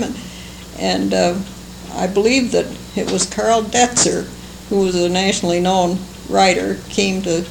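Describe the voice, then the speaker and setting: An older American woman recalling her life for an oral history, speaking in a halting, unhurried, fond way. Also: fairly low pitch, vocal fry. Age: older, seventies or above. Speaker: interviewee, longtime local resident. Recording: noisy amateur oral history recording.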